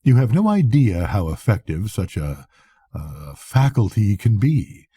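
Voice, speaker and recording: An older man reads a classic novel aloud as a storyteller, in a measured, reflective bedtime manner with a soft, husky voice. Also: lowish voice, big pitch swings, no echo, studio quality. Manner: measured, reflective first-person narration. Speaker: storyteller reading to grandchildren. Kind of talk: expressive bedtime audiobook reading of a classic novel.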